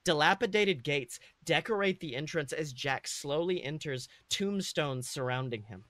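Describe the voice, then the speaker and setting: Higher-pitched man narrating a story. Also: lively intonation, normal speed, a bit bright, no echo. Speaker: podcast host and story reader. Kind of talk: comedic dramatic story narration.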